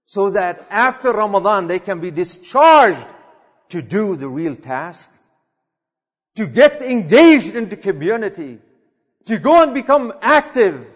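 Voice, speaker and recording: High-voiced preacher in a reverberant hall. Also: male voice, very animated, breathy edge. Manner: impassioned, swinging from loud urging to quiet reflection; chants Arabic verses rapidly, then translates. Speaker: preacher giving a Friday sermon. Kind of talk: sermon. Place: mosque prayer hall.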